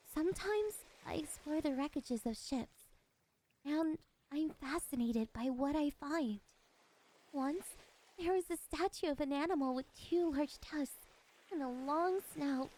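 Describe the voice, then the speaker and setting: High-voiced, lonely, possessive siren, acted by a woman. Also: a little mellow. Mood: fascinated. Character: lonely, possessive female siren, curious and soft-spoken. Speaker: voice actress. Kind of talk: ASMR roleplay monologue.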